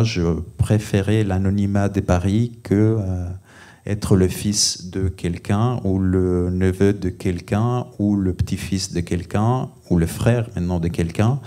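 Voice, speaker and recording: A man's voice: sits low, warm tone. A man from Greece with a Greek accent, an author speaking at a library panel, hesitant with many fillers and restarts. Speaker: guest author. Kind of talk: panel discussion at a library event. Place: library event room.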